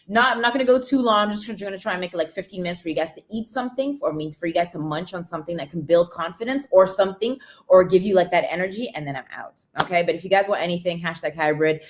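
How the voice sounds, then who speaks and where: A woman hosting a motivational livestream, chatting casually and greeting viewers by name. She often tags her points with "right?" and English is not her first language. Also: fairly low pitch. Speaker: livestream host, supplement seller.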